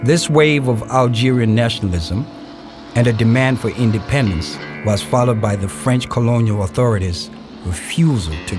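A man playing a grave narrator of a historical radio play, reading scripted narration in a measured, deliberate, formal way with pauses. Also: lowish voice, natural voice. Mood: solemn, factual. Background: music.

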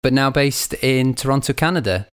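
A man hosting a podcast, reading a calm scripted intro and then chatting with a guest in a measured, friendly way. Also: medium pitch, smooth, no echo, clean studio sound. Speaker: podcast host.

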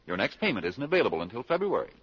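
An actor playing a stern, fussy middle-aged suitor and money adviser in a 1940s radio comedy, husky and pompously emphatic. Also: male voice, mid-range voice, big pitch swings, very fast, a bit bright. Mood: stern, scolding. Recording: dull vintage 1940s radio broadcast.

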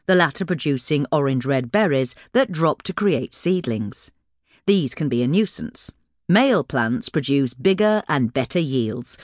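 A woman narrates a practical how-to guide with careful, measured diction. Her voice sits on the low side but moves with lively intonation, recorded close and dry. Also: no echo. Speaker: reader of a gardening guide. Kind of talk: scripted instructional narration.

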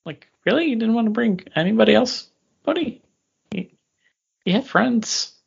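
Lively, animated male podcast co-host, slightly muffled. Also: sits high, normal speed, vocal fry, breathy edge. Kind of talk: casual comic book review chat. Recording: dull lo-fi podcast audio.

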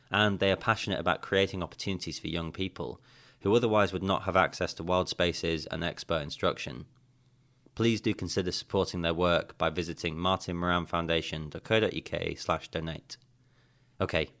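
A man hosting an adventure podcast, reading a measured, earnest introduction for his guest. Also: sits low, a bit bright. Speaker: podcast host.

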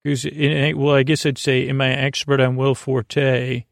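A man with a creaky voice hosting a bedtime podcast, meandering hesitantly through a soothing, goofy monologue that keeps interrupting itself. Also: mid-range voice, level tone, normal speed, no echo, studio quality. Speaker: podcast host.

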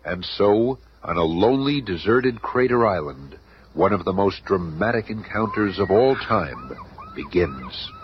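An actor playing a dramatic radio serial narrator, suspenseful and emphatic. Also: male voice, fairly low pitch, expressive. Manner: slow-building, suspenseful, emphatic. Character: dramatic, portentous male narrator. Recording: muffled, noisy old radio recording.

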